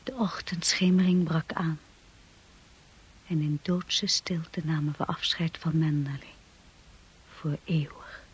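Devoted young wife in a radio play, slow n solemn, wistful. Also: female voice, deep voice, steady tone, husky, muffled recording, full character voice. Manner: slow, measured, solemn delivery. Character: Mrs de Winter, devoted young wife looking back wistfully. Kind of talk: radio drama acting and narration.

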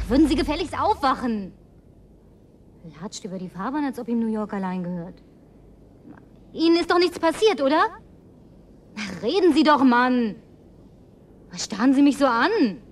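A woman's voice: high voice, sing-song, measured pace, pauses often. An actress in a radio play voicing a brusque, sarcastic, bossy woman who survived in a deserted city. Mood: irritated. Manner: snappish, mocking, bossy.

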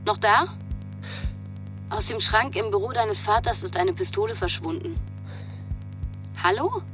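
An actress playing an insistent adult investigator in a radio drama, pressing and demanding. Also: female voice, mid-range voice, expressive, a little slow, over music, close to an everyday voice. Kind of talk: naturalistic German radio drama acting.

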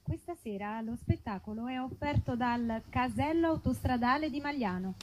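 A woman delivering mock adverts and sketch narration in a deadpan, formal announcer manner on stage. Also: a little high, moderate pace, faint music behind, low-quality recording.

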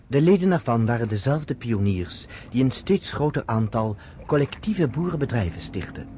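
An actor playing a storyteller, narrating a radio drama in scripted prose. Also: male voice, medium pitch, lively intonation, moderate pace, mellow, slight background noise, lightly acted. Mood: measured narration. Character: male storyteller recounting history to a woman listener.

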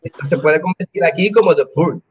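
Puerto Rican comedian guest, middle-aged man, fairly high-pitched, talkative and opinionated. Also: brisk, husky, highs cut off. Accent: Puerto Rican Spanish. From Puerto Rico. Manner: chatty, keeps asking "me entiendes?". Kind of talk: casual interview over a call.

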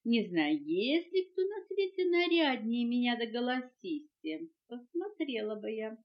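Vain lady wasp acted by a woman, preening sing-song, highish, for a children's tale on muffled cassette. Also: sing-song, measured pace. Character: vain, showy female wasp, proud of her finery. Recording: muffled home cassette recording.

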